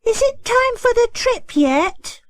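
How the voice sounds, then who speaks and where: A woman acting excitable villagers, young and old, in an exaggerated way for a children's audiobook. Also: extremely high pitch, slow, no room sound, exaggerated voice. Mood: eager. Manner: exclamatory, exaggerated. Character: villagers in a children's story: excited children, fussy older ladies, brisk mother.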